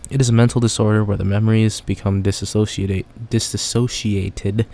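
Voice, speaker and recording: A man's voice: lowish voice, level tone, fairly quick, creaky, mellow. A young man playing a game casually, reading its text aloud and joking about it. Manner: chatty, reads text then jokes about it. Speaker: gamer.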